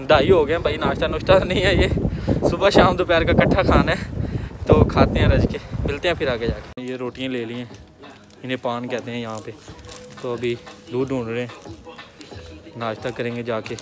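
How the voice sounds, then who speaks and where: A man, chatting casually to a phone camera held at arm's length as he vlogs on city streets and in a flat. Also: mid-range voice, normal speed, very noisy. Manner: chatty, walking and talking to a handheld camera. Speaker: vlogger. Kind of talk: casual vlog chat. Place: city streets outdoors, then a flat indoors.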